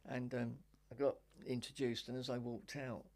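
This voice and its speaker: An older woman with a deep voice, a stand-up comedian telling wry anecdotes in a relaxed interview chat. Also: moderate pace. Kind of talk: casual interview chat, storytelling.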